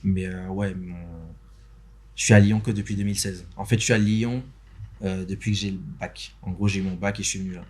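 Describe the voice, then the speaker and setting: Soft-spoken young man, hesitant, low voice. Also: little pitch movement, brisk, slightly rough. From Benin. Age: young adult. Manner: hesitant, many fillers and restarts. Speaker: podcast guest, interview host and motion designer. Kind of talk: casual chat. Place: podcast studio.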